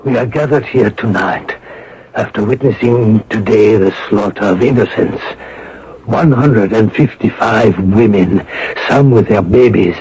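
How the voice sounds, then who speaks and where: Solemn monsignor, slightly muffled voice. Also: male voice, on the low side, a little mellow, slightly rough, heavily acted. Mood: solemn resolve. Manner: grave, measured, preacherly. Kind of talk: radio drama acting. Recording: dull old radio broadcast.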